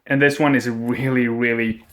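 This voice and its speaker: A man's voice: mid-range voice, moderate pace. Young swedish guy unboxing pc parts, hyped, swears a ton, home webcam. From Sweden. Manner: excitable, swears a lot, jokey. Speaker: gaming vlogger. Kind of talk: casual unboxing vlog. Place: at home indoors.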